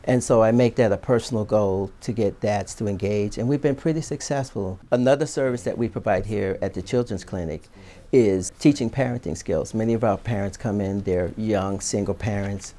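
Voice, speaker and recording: Deep, gravelly, mellow man. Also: brisk. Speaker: children's clinic clinician. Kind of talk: explanatory interview.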